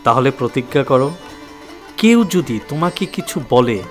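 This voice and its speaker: A man's voice: medium pitch, lively intonation, normal speed, soft background music, lightly acted. A man playing an earnest, tender young suitor with a secret in an audio drama. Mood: earnest pleading.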